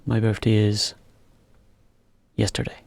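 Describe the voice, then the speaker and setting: A man teaching a beginner English lesson, explaining slowly in plain words with example sentences. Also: fairly low pitch, monotone, gravelly, darkish tone, dry recording.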